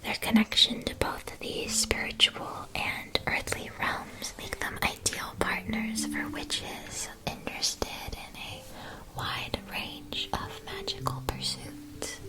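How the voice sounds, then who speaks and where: A woman playing a gentle, attentive witch shopkeeper in a scripted ASMR roleplay, whispering soft, measured, soothing explanations. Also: lightly acted. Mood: calm, attentive. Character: gentle, attentive witch school supply shopkeeper advising a student.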